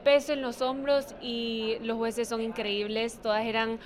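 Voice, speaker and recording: A woman's voice: on the high side, flat delivery, a little slow. A woman, a pageant contestant, giving casual answers in an interview.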